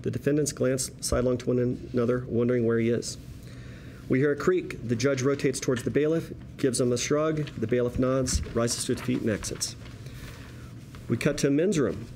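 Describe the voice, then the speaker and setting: A man's voice: mid-range voice, fairly even intonation, normal speed, mellow. Man narrating a script, faint room noise. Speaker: script narrator reading stage directions. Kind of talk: scripted narration at a table read.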